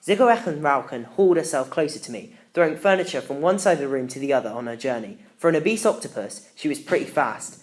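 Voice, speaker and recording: Narrator for a children's novel, medium-pitched, reading in first person. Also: lively intonation, fast, few pauses. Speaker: story narrator.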